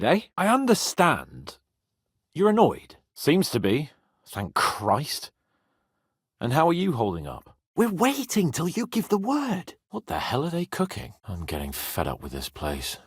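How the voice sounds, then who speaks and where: A man playing a spoiled, cocky young nobleman in a video game, flippant and theatrical, swinging between whiny and wry, with a husky voice. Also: fairly high pitch, no room sound, clean studio sound, exaggerated voice. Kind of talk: video game character voice acting.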